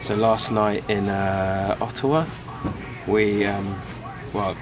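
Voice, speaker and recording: A man with a head cold, his voice worn hoarse, casually narrating a band's tour diary with easygoing, self-deprecating hesitations. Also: on the low side, flat delivery, slow pace. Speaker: band member filming tour diary.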